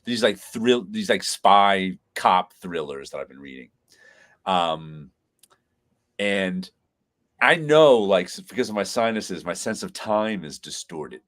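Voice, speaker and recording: A man's voice: deep voice, expressive, slow pace, a bit bright. A man hosting a casual live chat, congested from sinus trouble, rambling through stories with frequent laughs and restarts. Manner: rambling storyteller who laughs often and restarts phrases. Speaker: host.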